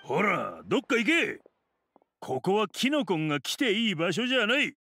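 A man voicing a stern, dutiful forest guard who is gruff with creatures and respectful to his goddess, in a video game. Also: high-pitched, huge pitch swings, moderate pace, music in the background, exaggerated voice. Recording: game audio with noise.

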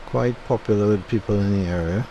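A man with a Trinidadian accent giving a low-key, unenthusiastic running commentary while driving. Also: fairly quick, warm tone, muffled recording, slight background noise. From Trinidad and Tobago. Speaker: driving vlogger. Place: inside a moving car.

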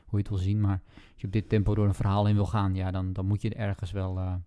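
A man co-hosting a podcast, chatting casually about books in a halting way, repeating words as he thinks. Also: low voice, monotone, warm tone, clear, resonant tone. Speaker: podcast co-host. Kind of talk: casual book chat.

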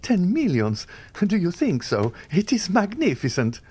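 A man voicing a giddy, boastful young gentleman who gushes eagerly about his good fortune. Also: medium pitch, sing-song, moderate pace, voice pushed up. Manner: eager, gushing, self-satisfied. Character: giddy, boastful young social climber, male.

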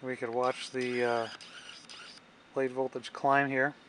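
A man's voice: mid-range voice, level tone, slow, a bit bright, poor audio. A man giving a casual technical demonstration, thinking aloud as he works. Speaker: radio restorer narrating his own repair. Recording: clear background noise.

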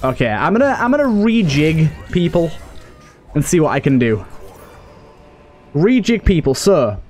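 A man's voice: on the high side, very animated, measured pace, a little mellow. A man giving casual let's play commentary, muttering to himself as he thinks through his moves. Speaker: gaming video host. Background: game music.

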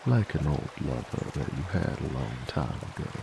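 Man acting a very deep, gravelly cowboy werewolf. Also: big pitch swings, background noise, heavily acted. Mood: wistful, easygoing. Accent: American Southern or Western drawl. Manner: folksy, drawling, wry, unhurried. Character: laid-back, teasing, dominant male werewolf bounty hunter. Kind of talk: roleplay ASMR monologue.

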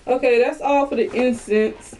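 Chatty woman, bright high voice. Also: normal speed, a little hiss or hum, low-quality recording. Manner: chatty, animated, addresses viewers as y'all. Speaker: vlogger. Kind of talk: casual haul video. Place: small bedroom indoors.

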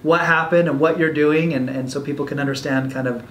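Man, fairly high voice, chatty interviewer, distant echoey room. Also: steady tone, brisk, fairly bright tone, a little hiss or hum. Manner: loose, joking, many fillers. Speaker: interviewer, host. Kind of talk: casual interview. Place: office meeting room, indoors.

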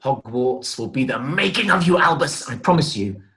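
A man's voice: medium pitch, lively intonation, moderate pace, sharp tone. A middle-aged actor playing an earnest, reassuring wizard father in a stage drama table read on a video call. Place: room at home, video call, webcam.

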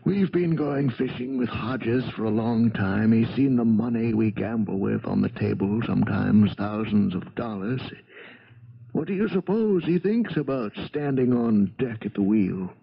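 Gravelly, hard-edged man. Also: lowish voice, animated, unhurried, full character voice. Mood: accusing, insistent. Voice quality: gravelly, husky. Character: hard, accusing man, survivor of a boat wreck. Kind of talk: 1970s radio drama acting. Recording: dull, muffled old radio broadcast.

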